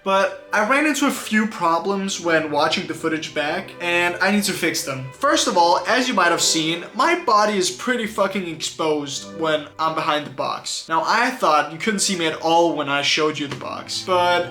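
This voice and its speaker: A man with a slightly high voice talks through a vlog in a casual manner. He is self-deprecating as he narrates, with music faintly playing behind him. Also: animated, moderate pace, few pauses, pushed and bright. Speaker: streamer.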